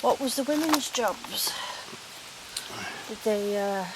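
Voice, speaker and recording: A woman's voice: fairly high pitch, takes it slowly. A woman on an outdoor ghost hunt, asking spirits curious questions in a chatty manner. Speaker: paranormal investigator. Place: outdoors at a woodland waterfall site. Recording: hissy outdoor recording.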